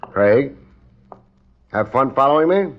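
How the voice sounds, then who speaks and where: An actor playing a cool, wry private investigator who leads and narrates a 1950s radio detective drama in a laconic, casual way. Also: male voice, medium pitch, animated, slow pace. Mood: cool, teasing. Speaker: lead and narrator. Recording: muffled 1950s radio recording, music.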